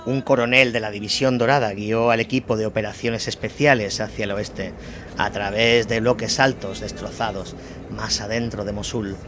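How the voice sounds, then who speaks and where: A man's voice: lowish voice, steady tone, normal speed. A man narrating a dramatized war chronicle as a scripted audio story. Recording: music bed behind the voice. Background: music.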